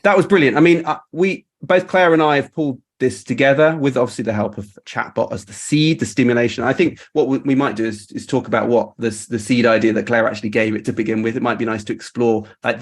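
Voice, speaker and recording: Chatty man hosting a casual show. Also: medium pitch. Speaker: host of a marketing show. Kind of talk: casual live-stream hosting chat.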